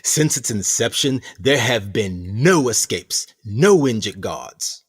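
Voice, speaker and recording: A man acts out a sinister male prison director from a radio play. His voice is low and swings widely in pitch. He speaks with formal, measured courtesy that carries quiet menace. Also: lively intonation, husky, exaggerated voice. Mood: coolly persuasive. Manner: formal, eloquent, theatrical, measured. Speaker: actor in an audio drama. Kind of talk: community theatre radio play acting.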